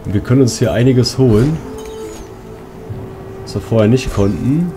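A man's voice: medium pitch, animated, normal speed. A man giving casual, colloquial gameplay commentary in speech, talking half to himself and half to his viewers. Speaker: let's player. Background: game music.

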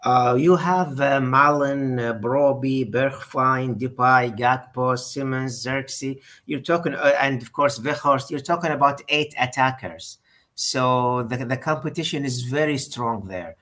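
A middle-aged man on a video call giving careful football opinions, with a deliberate, slightly formal way of speaking and many pauses. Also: medium pitch, fairly bright tone. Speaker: co-host.

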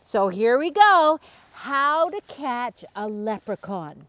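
A woman's voice: a little high, big pitch swings, slow pace, a bit bright, no echo. A middle-aged woman hosting a read-aloud for young children in a singsong manner. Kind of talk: storytime reading for children.

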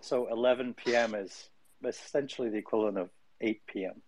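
A man speaking into a close, dry mic on an audio call, his pitch sitting in an ordinary middle range. He jokes around with halting fillers, then reads scene directions from a script at a steady pace. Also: dry recording, poor audio. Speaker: showrunner and host reading a script. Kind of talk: casual chat, then reading scene directions.

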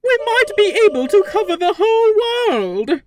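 A woman voicing a flamboyant, smug robot queen in a video game fan dub, with deliberate, theatrical delivery. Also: very high-pitched, sing-song. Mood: grand musing. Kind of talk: fan-dubbed video game villain monologue. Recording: background music under the voice.

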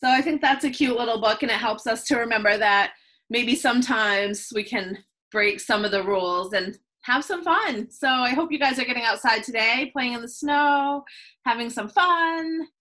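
A woman in her thirties reading a children's picture book aloud in slow, sing-song rhymes, recorded on a webcam at home. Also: fairly high pitch, sharp tone. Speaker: children's read-aloud host. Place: home room with plants, indoors.

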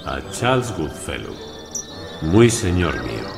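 An actor playing a formal, grave gentleman in an audio drama. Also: extremely low pitch, very animated, slow pace, roomy sound, over music, full character voice.

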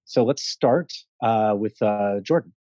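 A man in his forties moderating a live stream, introducing people in a friendly, quick way over a video call with earbuds. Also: on the low side, warm tone, no echo. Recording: dull, dry video call audio.

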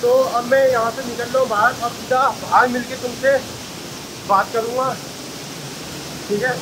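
A young man with a fairly high voice talks to his viewers while scrambling through a slippery cave, calling them guys and brother. He sounds tired and a little out of breath. The phone mic picks up loud rushing water all around him. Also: fairly even intonation, background noise. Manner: chatty, addresses viewers as guys and bhai. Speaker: travel vlogger. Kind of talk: casual selfie vlog narration. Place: wet rocky cave and forest trail outdoors, by a waterfall. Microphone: handheld phone camera at arm's length. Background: waterfall and stream.

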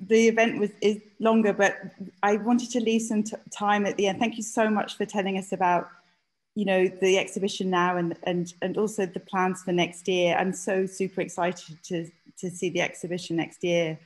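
A woman's voice: mid-range voice, flat delivery, moderate pace, rough recording. A woman hosting an informal panel on a video call, tentative and apologetic in how she steers it. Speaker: event host, panel moderator. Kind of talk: informal event moderation. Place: indoor room on a video call.

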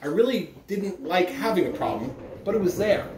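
A man's voice: high voice, sing-song, moderate pace, recorded from a distance, noisy background. A middle-aged man speaking expressively to children, like a school announcer reading aloud. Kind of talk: morning announcements and children's story read-aloud. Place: living room, indoors. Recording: roomy, recorded away from the mic.

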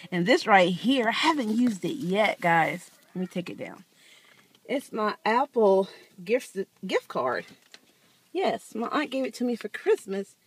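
A woman's voice: mid-range voice, husky. A woman in her thirties chatting casually to viewers while filming a tour of her car on a handheld phone, laughing often. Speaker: vlogger. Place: outdoors and inside a parked car. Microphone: handheld phone camera.

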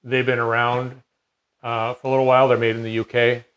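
A man's voice: mid-range voice, monotone, brisk, fairly bright tone, smooth, low-quality recording. Rambling middle-aged man in a garage workshop. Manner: rambling, many fillers and restarts. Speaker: CNC machine dealer. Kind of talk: casual explanation in a workshop conversation. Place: large home garage workshop.

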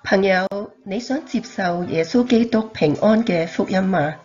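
A woman's voice: mid-range voice, measured pace. A woman reading a scripted evangelistic narration. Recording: dull lo-fi recording.

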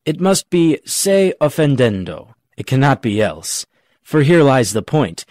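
A man's voice: medium pitch, sing-song, measured pace, a little mellow, no room sound. A man playing a cocky rustic gravedigger in a Shakespeare audiobook, arguing in a pedantic, jokey way. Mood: pedantic, argumentative. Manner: pedantic, jokey mock-logical argument. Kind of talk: dramatic audiobook reading of Shakespeare.

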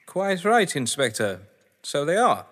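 A grandiose, sardonic gentleman of letters, played by a man in an audio drama. His voice sits high and swoops freely through eloquent, defensive speeches, ringing with long reverb. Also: sing-song, a little slow, exaggerated voice. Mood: coolly defensive. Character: haughty, theatrical games-obsessed male writer, defensive under suspicion. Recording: long echoing hall reverb.

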